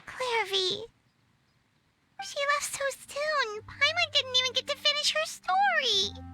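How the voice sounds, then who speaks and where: A woman voicing a tiny, excitable, affectionate fairy girl with a squeaky, childlike voice in a cartoonish video game. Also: takes it slowly, heavily acted. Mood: tender, sad.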